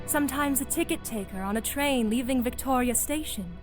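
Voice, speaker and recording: A woman plays a bitter villainess of many disguises in an audio drama. Her fairly high voice delivers theatrical, measured taunts with smug menace. Music plays clearly behind her. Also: natural voice. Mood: menacing, bitter. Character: sly female villain, bitter, mocking master of disguise.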